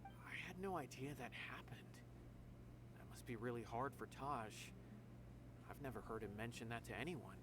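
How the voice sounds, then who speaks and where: An actor playing an earnest, loyal guard in a video game, speaking with emphatic sincerity. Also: male voice, mid-range voice, moderate pace, noisy background, natural voice. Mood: subdued.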